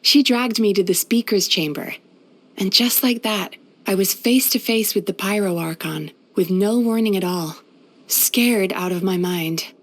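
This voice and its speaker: A woman playing a gentle, composed yokai therapist in a video game, soft-spoken and measured. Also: mid-range voice. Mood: warm, amused. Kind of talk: video game character voice lines.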